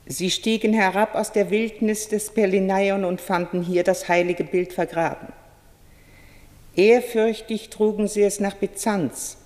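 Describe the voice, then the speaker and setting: An actress playing an old, devout nun in a German radio play, speaking with solemn reverence like a mystical guide. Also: female voice, mid-range voice, darkish tone, close to an everyday voice. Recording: long cavernous church-like reverb.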